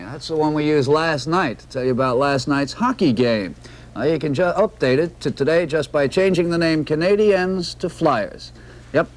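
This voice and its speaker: A man with an American accent working as a TV sportscaster, informal and joking, talking through highlights.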